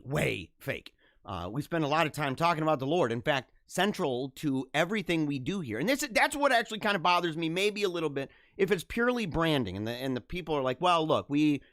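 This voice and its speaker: A young man hosting a casual live-streamed talk show, with a slightly high voice that swoops up and down a lot. He chuckles often and repeats himself. The sound is dry and close, with no room echo. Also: fairly bright tone. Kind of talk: casual live-stream chat reading viewer comments.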